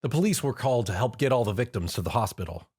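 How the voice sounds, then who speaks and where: Guy narrating a fanfic, husky, super animated. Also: mid-range voice, fast. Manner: lively, expressive narration. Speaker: reader. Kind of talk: fan fiction reading.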